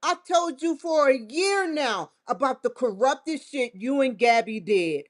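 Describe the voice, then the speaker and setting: High-voiced defiant woman, slow and deliberate. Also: animated. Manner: defiant, confrontational, with frequent profanity. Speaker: vlogger hosting her own show. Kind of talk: direct-to-camera monologue.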